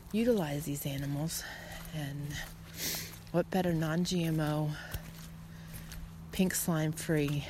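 Woman, low voice, casual solo podcast host, earnest, encouraging, pausing phrasing, noisy recording. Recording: clearly noisy background, some clicks.

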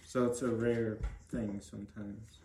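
A man's voice: lowish voice, flat delivery, measured pace, dark tone. A young adult man giving brief, plainspoken answers as a guest in a casual interview. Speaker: podcast guest, trade program student. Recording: echoey room with noise and clicks.

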